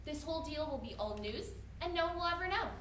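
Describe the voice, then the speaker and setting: A woman's voice: high voice, animated, quick pace, fairly bright tone. A woman playing a stern, controlling mother who is scolding and dismissive, in a staged dramatic reading. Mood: cold, dismissive. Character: stern, controlling middle-aged mother, scornful of her daughter. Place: live presentation in a room at a college. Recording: distant mic in echoey, slightly noisy room.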